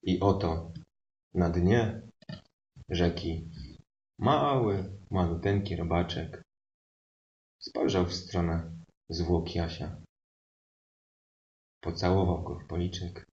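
Guy narrating improv fairy tale, mock serious, deliberate, echoey muffled live recording. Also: low voice, darkish tone. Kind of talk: improvised comic storytelling. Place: indoor live performance space.

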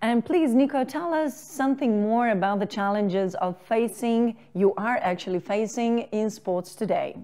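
A woman in her forties presents from a studio in a somewhat high, polished voice. She reads formal introductions smoothly into a lapel mic. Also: moderate pace, hardly any pauses, warm tone. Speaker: summit host. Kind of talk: scripted presenting. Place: studio set with sofa.

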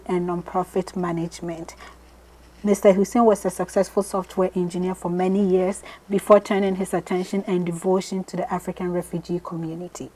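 A woman, a graduate student, reading a prepared introduction of a speaker over a video call at a measured, steady pace. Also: lowish voice, low-quality recording.

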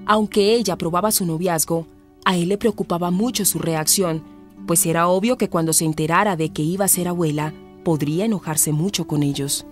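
A woman's voice: fairly low pitch, brisk. Colombian woman narrator with music behind. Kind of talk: scripted radio drama narration.

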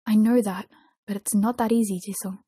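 Woman reading as weary young male idol, husky. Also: medium pitch, steady tone, normal speed, dark tone, dry recording, studio quality, natural voice. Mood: angry, despairing. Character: weary, self-doubting young male pop idol, older friend.

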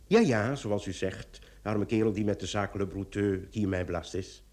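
A man playing an excitable, wry police commissioner in an old Dutch radio drama, with a theatrical delivery. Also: on the low side, normal speed, lightly acted. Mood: brisk, wry.

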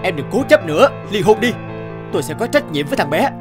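A man's voice: high-pitched, sing-song, quick pace, music in the background. A man playing a philandering husband and father in a cartoon drama. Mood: stern. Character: philandering husband and father, adult, cold to wife.

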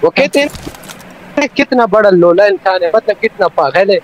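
A man's voice: high-pitched, lively intonation, normal speed, highs cut off. A man, bantering bossily with his squad in casual online game chat. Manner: bantering, bossy. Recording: faint noise, game sounds behind.

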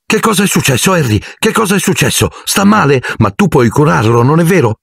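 A man voicing an excitable young schoolboy fan, breathless and gushing. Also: on the high side, a little fast, vocal fry, slightly rough, no echo, clean studio sound, pitched above the performer's own voice, exaggerated voice. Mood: anxious.

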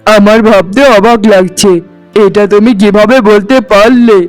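A man's voice: high-pitched, fairly even intonation, normal speed, no room sound, faint music behind, close to an everyday voice, poor audio. A man voicing a meek, henpecked village husband in a cartoon, protesting but yielding. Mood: wounded. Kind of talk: cartoon voice acting.

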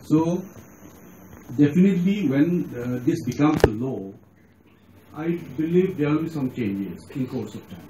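A middle-aged man with an Indian accent explains points as a panelist, halting and deliberate. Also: fairly high pitch, expressive. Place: auditorium, seated at panel table. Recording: dull, noisy, echoey hall recording.

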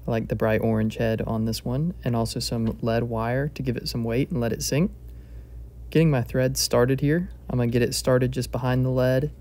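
A man narrates a hands-on craft tutorial in a calm, methodical way. His voice is mellow and dark, with very little rise and fall. He sounds relaxed and plainspoken as he explains each step. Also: medium pitch, normal speed. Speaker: fly-tying instructor. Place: home fly-tying bench.

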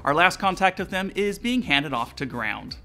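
Bright, animated American man presenting. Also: sits high, normal speed. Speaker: aviation channel host. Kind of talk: scripted explainer narration to viewers. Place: indoor studio room with aviation decor. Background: music.

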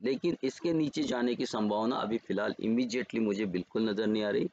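A man with an Indian accent giving trading levels over charts as a market educator, unhurried and pausing often to correct himself. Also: medium pitch, a little mellow, muffled recording. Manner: unhurried, pauses and self-corrects while reading levels. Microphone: screen recording voice-over.

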